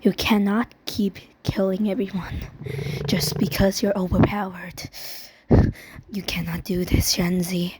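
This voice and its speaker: A woman voicing an earnest, grieving young animal hero in an amateur animated drama, halting and solemn as she pleads. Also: medium pitch, noisy background, close to an everyday voice. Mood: solemn, hushed. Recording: loud music under the voice.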